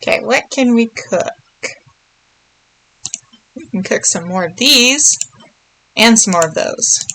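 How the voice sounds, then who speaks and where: A woman's voice: a little high, animated, slow, pauses often, pushed and bright. A woman giving casual, playful video game commentary, thinking aloud and murmuring little nonsense words to herself as she plays.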